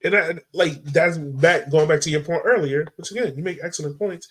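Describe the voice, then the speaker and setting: Higher-voiced man chatting casually. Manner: informal, halting with frequent filler "like". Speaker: sports podcast co-host. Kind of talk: casual sports chat.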